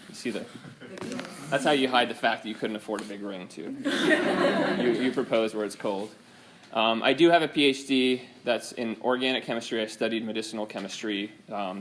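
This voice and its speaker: Male chemistry teacher, loose and halting classroom talk, noisy screencast audio. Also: lowish voice. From United States. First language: Swedish. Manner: informal, hesitant with many ums. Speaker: chemistry instructor. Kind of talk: classroom lecture to students.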